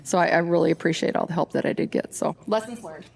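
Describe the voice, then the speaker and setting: A woman with a fairly low voice talks loudly and with emphasis. She tells her story in a chatty, animated way, as a guest in a relaxed interview. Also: fast, vocal fry, husky. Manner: loud, emphatic, chatty with laughs. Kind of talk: casual interview.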